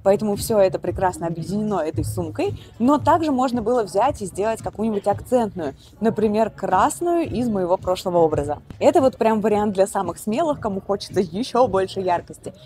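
A woman's voice: mid-range voice, mellow. A woman stylist explaining an outfit and giving practical fashion advice to viewers. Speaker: stylist presenting her outfit.

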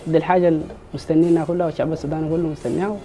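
A young man in his twenties with a Sudanese Arabic accent, a football fan and medical student, answering earnestly in a studio interview with frequent halting restarts. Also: fairly high pitch, fairly even intonation, dark tone, slight background noise. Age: 20s.

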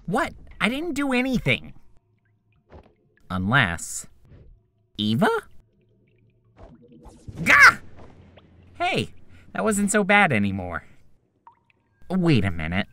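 A woman playing an exasperated, sarcastic adult technician in a video game fan dub, theatrical and expressive. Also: mid-range voice, takes it slowly, pauses often, a little hiss or hum, heavily acted. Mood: startled.

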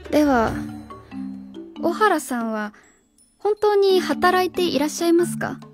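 A woman's voice: very high-pitched, big pitch swings, measured pace, music in the background, exaggerated voice. A woman playing a stern, earnest young schoolteacher in a radio drama, formal, polite and probing. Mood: pressing, suspicious. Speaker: voice actor.

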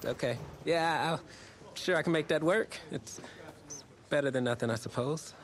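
A man playing an eager, pushy high school newspaper reporter in a video game cutscene, chatty and theatrical, with an American accent. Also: normal speed, close to an everyday voice. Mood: let down, dismissive.

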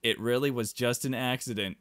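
A man's voice: medium pitch, fairly even intonation, brisk, a bit bright, dry recording, studio quality, lightly acted. A man voicing a flippant, evasive teenage schoolgirl from a visual novel, with breezy, exasperated teen talk. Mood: evasive, reassuring. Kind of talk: visual novel character read aloud. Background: game music.

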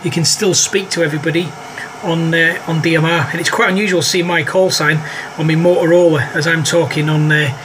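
Higher-voiced British man with background noise. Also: fairly even intonation, a little fast. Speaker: amateur radio operator. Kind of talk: casual ham radio conversation.

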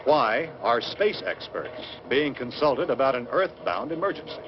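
Noisy, dulled audio from an old film carries a sober American newscaster. He reads a 1960s bulletin at a medium pitch, formally and with measured authority. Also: sing-song. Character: grave, sober male TV newscaster reporting a catastrophe. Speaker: television newscaster. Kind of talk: 1960s TV news bulletin, scripted reading. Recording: dull noisy old film soundtrack, television sound.

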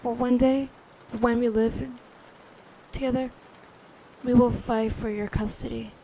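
A woman playing a gentle, protective big sister comforting a child to sleep in a soothing, hushed ASMR roleplay, close to the microphone. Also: on the high side, little pitch movement, unhurried, creaky, slight background noise, low-quality recording. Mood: reassuring, protective.

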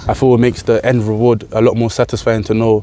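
Young London man, farmer guest, quick and mellow, open-air field interview on a lapel mic; repeats himself as he pushes his point. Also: medium pitch, a little hiss or hum. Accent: London English. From United Kingdom. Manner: animated, gestures while talking, repeats words. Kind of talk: casual interview. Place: outdoors in a crop field.

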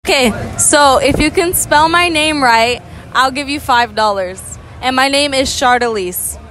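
High-voiced teen girl interviewing strangers. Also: measured pace, a bit bright. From Florida. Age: teens. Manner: upbeat, repeats the same challenge to each stranger. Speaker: street interviewer. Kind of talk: casual street interview. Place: outdoor amusement park at night. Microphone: handheld phone held toward speakers. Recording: music and crowd noise behind.

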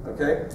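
A man's voice: darkish tone, recorded from a distance, a little hiss or hum. Man lecturing in an echoey hall. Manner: conversational, wry, pointing at slides. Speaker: keynote speaker. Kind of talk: conference keynote lecture.

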